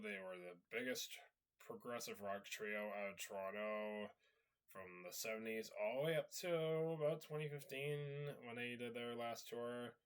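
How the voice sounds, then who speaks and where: A man hosting a casual rant video at home, slightly raspy and sniffly from a cold, rambling with frequent fillers and repeated "so yeah". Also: lowish voice. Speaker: video host.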